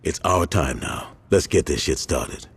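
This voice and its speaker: An actor playing a stern, commanding veteran street gang boss with a deep, husky voice in video game cutscenes. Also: male voice, lively intonation, heavily acted. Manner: measured, commanding. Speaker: gang leader.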